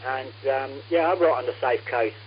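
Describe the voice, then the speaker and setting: A man's voice: mid-range voice, normal speed. A man chatting politely and casually as an amateur radio operator over a radio contact. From England. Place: radio transmission. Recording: muffled radio link with humming noise.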